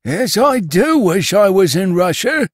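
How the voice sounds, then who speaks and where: A man's voice: high-pitched, very animated, no echo, pitched above the performer's own voice, full character voice. A man voicing a shrivelled, quarrelsome old London cabman with a sour, irritable manner in a storybook reading. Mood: belligerent. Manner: sour, irritable, drawn-out emphatic delivery.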